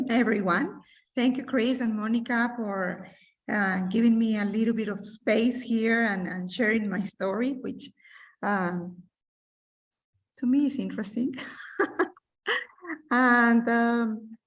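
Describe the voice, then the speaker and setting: A woman from Mexico, a businesswoman guest in an interview, telling her life story over a video call, animated and pausing often with fillers. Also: on the high side, recorded from a distance. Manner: animated, searching for words with many fillers. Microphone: remote video call.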